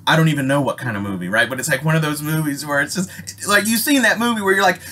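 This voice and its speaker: Rambling, profane American storyteller with background noise. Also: male voice, a little high, quick pace. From Alaska, United States. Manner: profane, animated, rambling, slangy. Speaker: storyteller, host. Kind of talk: casual personal storytelling, unscripted. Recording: noisy amateur recording with ambient sound.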